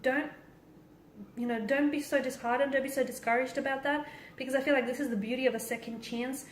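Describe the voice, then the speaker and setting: A woman's voice: sits high, steady tone, brisk. A woman giving a conversational tarot reading straight to the viewer, stressing points by repeating and rephrasing them. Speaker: tarot card reader and host. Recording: roomy echo with faint background noise.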